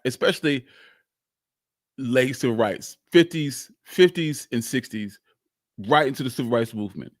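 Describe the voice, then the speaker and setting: A man's voice: mid-range voice, no echo, clean studio sound. A man hosting a live history talk, telling the story emphatically and repeating phrases for weight. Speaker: host of a history show.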